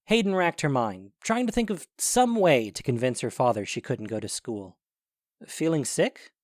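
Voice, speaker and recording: A man's voice: medium pitch, sing-song, moderate pace, a little mellow, dry recording, clean studio sound. A man narrating a scripted audio drama as its storyteller.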